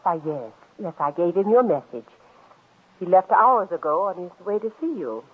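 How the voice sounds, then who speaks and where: A woman's voice: on the low side, very animated, moderate pace, husky. An actress playing a cold, controlling woman scheming in a murder plot, speaking in a commanding, composed, clipped way in 1940s radio drama style. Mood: polite, guarded. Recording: tinny, muffled old-time radio recording.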